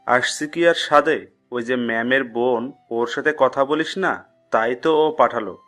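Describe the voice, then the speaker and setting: A man's voice: medium pitch, a little slow, a bit bright, close to an everyday voice. A man playing a blunt, slangy young college friend in an audio love story. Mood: blunt, casual. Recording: music bed under the voice.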